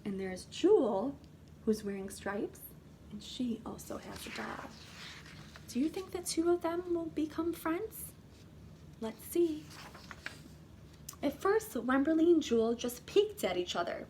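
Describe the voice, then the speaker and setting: A woman's voice: high-pitched, very animated, mellow, slight background noise. A woman teacher reading a children's storybook aloud expressively, in short phrases for young listeners. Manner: pauses to ask listeners questions. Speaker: kindergarten teacher.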